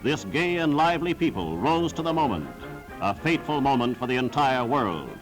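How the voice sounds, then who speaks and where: A man's voice: medium pitch, very animated, moderate pace, music in the background, rough recording. A man narrating a scripted 1940s wartime newsreel in a solemn, formal, declamatory style, on a vintage film soundtrack.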